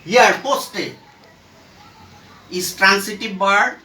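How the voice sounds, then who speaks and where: A male teacher with a Bangladeshi accent speaks slowly in a high, bright voice. He lectures formally, phrase by phrase with deliberate breaks. The recording is echoey and picked up from a distance. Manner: deliberate, halting phrase-by-phrase delivery. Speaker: English grammar teacher. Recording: echoey room, distant mic, faint noise.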